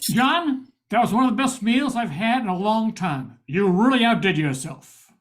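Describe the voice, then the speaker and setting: An older man playing a rough-edged but good-hearted private eye in an old-time radio drama. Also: sits high, lively intonation, moderate pace. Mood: hearty. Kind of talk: 1940s radio acting.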